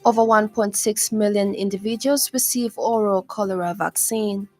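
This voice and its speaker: A woman's voice: medium pitch, steady tone, normal speed, loud music behind the voice. A woman with a Zambian accent reading a scripted radio news bulletin as presenter.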